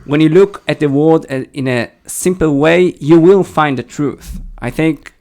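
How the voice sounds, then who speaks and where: A young man with a Hebrew accent touched by British English talks through ideas on a casual podcast. His voice sits slightly high with a gravelly edge. He often pauses and restarts as he searches for words. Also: a little mellow. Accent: Hebrew-accented English with a slight British tinge. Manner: thoughtful, hesitant, restarts often. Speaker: podcast co-host. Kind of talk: casual discussion.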